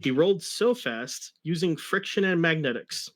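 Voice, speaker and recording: Male story reader, fairly high voice, comic dramatic narration broken by wry asides. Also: lively intonation, normal speed, a bit bright. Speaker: reader of a fan fiction story.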